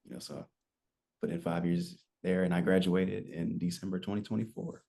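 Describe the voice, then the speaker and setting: Deep gravelly young man, rambling. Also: a little fast. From United States. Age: 20s. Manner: rambling, relaxed storytelling with fillers. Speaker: podcast guest. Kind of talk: casual interview chat.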